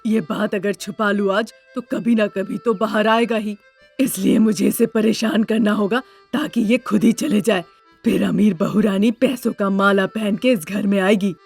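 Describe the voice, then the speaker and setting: A woman's voice: medium pitch, steady tone, moderate pace, creaky, husky, faint music behind. A woman voicing a greedy, cruel middle-aged mother-in-law in a cartoon story, scolding and conniving. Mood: scheming. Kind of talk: Hindi cartoon story dubbing.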